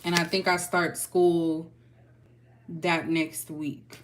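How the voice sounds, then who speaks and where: Young woman, Texas accent, lowish voice, chatty tarot reading, faint hiss. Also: little pitch movement, takes it slowly. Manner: chatty, loose, folksy with y'all. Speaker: tarot reader. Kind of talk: casual spiritual reading.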